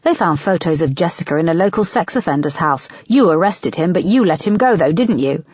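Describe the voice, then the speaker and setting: A woman's voice: on the low side, lively intonation, a little fast, darkish tone, breathy edge, no echo. A woman reading an audiobook, voicing a pushy young female TV reporter who fires accusing questions.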